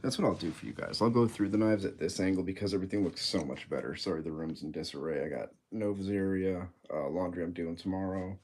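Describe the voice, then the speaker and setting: A man's voice: deep voice, fast, hardly any pauses, darkish tone, breathy edge. A young man with a congested, nasal voice, chatting casually and rambling with jokes on a livestream from his room. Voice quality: nasal, stuffy. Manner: rambling, joking, easily sidetracked. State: congested from a cold.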